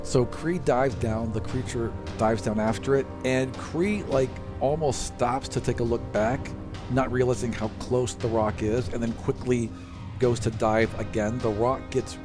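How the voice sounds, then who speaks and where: A man's voice: mid-range voice, fairly even intonation, a little slow. Man game master, music behind. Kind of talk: casual narration of a tabletop game.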